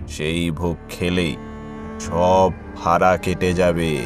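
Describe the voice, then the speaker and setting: Man as a deep, sinister family priest. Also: flat delivery. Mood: coldly commanding. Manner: solemn, measured, commanding. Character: sinister male family priest, solemn, coldly commanding. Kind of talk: dramatic horror audio story acting. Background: music.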